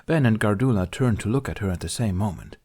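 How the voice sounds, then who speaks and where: A man's voice: on the low side, animated, quick pace, darkish tone. A man reading scripted fiction as an audiobook narrator.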